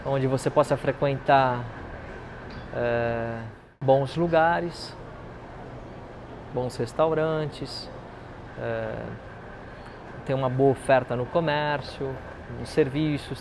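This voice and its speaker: A man's voice: mid-range voice, fairly even intonation, slow pace, pauses often. A man giving his views in a documentary interview. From São Paulo, Brazil. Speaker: local business owner interviewee. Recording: noisy outdoor urban traffic.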